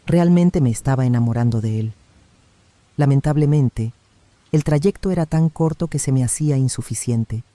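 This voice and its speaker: A man narrating a first-person true story for a horror channel in a calm, measured reading voice. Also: medium pitch, no echo.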